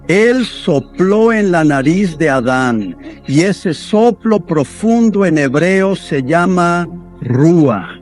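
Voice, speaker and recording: A man delivering a sermon as a voice-over, in an earnest, steady preaching manner. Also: fairly high pitch.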